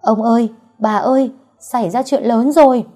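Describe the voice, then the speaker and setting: A woman voicing a panicked young male servant who stammers and shouts his bad news. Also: a little high, normal speed, voice pushed up, natural voice.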